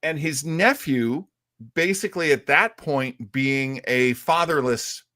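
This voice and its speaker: A man's voice: on the high side, slow pace, pushed and bright. A man hosting a casual chat about old-time radio, an enthusiastic and chatty explainer. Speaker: host.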